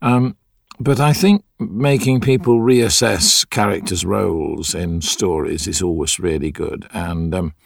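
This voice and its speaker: A man's voice: deep voice, unhurried, gravelly, no echo, clean studio sound. A middle-aged man, an actor, talking reflectively in an interview. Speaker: audio drama actor.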